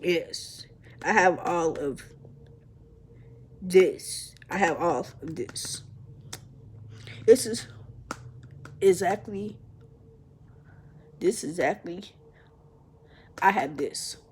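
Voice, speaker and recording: A young woman vlogger who stutters, talking haltingly to her viewers with many pauses and restarts, calling them y'all. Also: fairly low pitch, darkish tone, a little hiss or hum. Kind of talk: personal vlog, talking to viewers.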